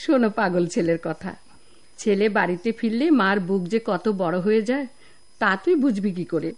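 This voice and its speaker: A woman's voice: mid-range voice, expressive, moderate pace, a little mellow, close to an everyday voice. A woman with a Bangladeshi accent playing a doting, pious mother of a grown son in a radio drama. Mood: tender, glad. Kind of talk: Bengali radio drama acting.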